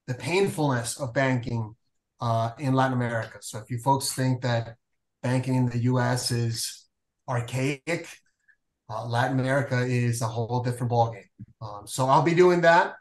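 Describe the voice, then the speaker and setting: A man's voice: medium pitch, steady tone, moderate pace, roomy sound. A man in his forties from Venezuela, a payments executive talking casually on a video call with many filler uhs. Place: home room, indoors. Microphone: video call webcam.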